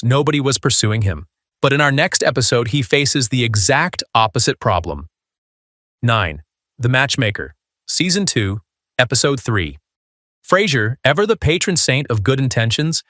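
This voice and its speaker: A man's voice: medium pitch, very animated, normal speed, a bit bright, no room sound, studio quality. A man hosting a video countdown, reading a scripted narration.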